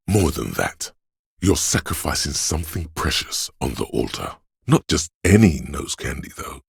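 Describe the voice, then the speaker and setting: A man voicing a seedy, hedonistic inner voice of addiction in a video game, gravelly and husky, coaxing in a sly, seductive way. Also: a bass voice, no room sound, studio quality, heavily acted. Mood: mocking, then relishing, craving. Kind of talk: video game character voice lines.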